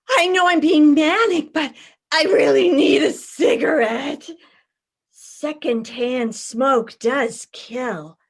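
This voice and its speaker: A woman's voice: high-pitched, a bit bright, exaggerated voice. A woman performing a monologue as a manic, anxious young woman who rambles nervously and apologises on a blind date. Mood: jittery, eager. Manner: halting, nervous, apologetic, over-eager to impress. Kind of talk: theatrical monologue, comedy reading.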